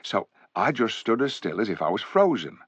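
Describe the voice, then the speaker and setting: A man's voice: on the low side, big pitch swings, normal speed, husky, dry recording. A man voicing a blunt, homely male dwarf who tells his news in a rambling, folksy way. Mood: earnest. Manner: folksy, rambling storyteller's telling. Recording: dull, dry recording.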